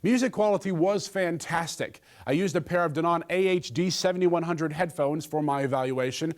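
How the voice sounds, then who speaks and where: Guy doing a scripted tech review, slightly high voice, bit jokey. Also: normal speed. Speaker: product reviewer and presenter. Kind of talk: scripted gadget review with comic asides.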